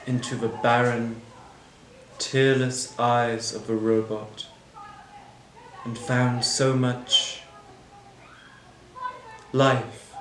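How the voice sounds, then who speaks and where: A bitter, disillusioned manager, acted by a man delivers a solemn dramatic monologue. He speaks very slowly and deliberately, breaking thoughts into short phrases. The room echoes around him, with noticeable background noise. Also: mid-range voice. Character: bitter, disillusioned airport manager defending replacing people with machines. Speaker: actor performing a monologue. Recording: roomy echo, clear background noise.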